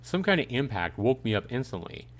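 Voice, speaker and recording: A man's voice: medium pitch, very animated, a little fast. A man streaming a visual novel, casually reading the text aloud and chatting jokily with his viewers. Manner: chatty, jokey, talks back to chat.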